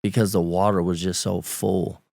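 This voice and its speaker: A very low, gravelly man's voice with a Romanian accent, speaking with a flat, even delivery. He talks in his forties as a podcast guest, stopping and restarting as he recalls his past and laughing lightly now and then. The recording is close and dry. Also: no room sound. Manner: halting, repeats words while recalling, laughs lightly. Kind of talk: candid conversational storytelling.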